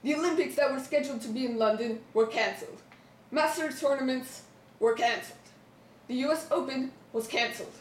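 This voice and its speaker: High young female voice, mock-earnest amateur news anchor, echoey room, off the mic. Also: brisk, distant mic, a little hiss or hum. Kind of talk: scripted comedic mock newscast.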